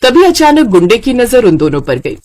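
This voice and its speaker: A woman's voice: on the high side, very animated, normal speed, a little mellow, a little hiss or hum. A woman narrator reading a scripted children's story.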